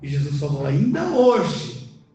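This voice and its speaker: A man's voice: a little high, sing-song, slow pace, dark tone. A middle-aged man with a Brazilian accent preaching a conversational sermon, emphatically asking questions and answering them himself. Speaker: preacher, church elder. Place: indoor church service, music in the background. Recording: very echoey church hall, music behind.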